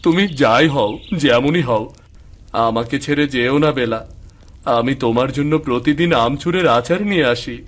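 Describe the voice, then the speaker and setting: Man acting an earnest village husband, medium pitch. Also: moderate pace, lightly acted. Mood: grieving. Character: devoted, gentle village husband and son. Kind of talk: animated cartoon voice acting.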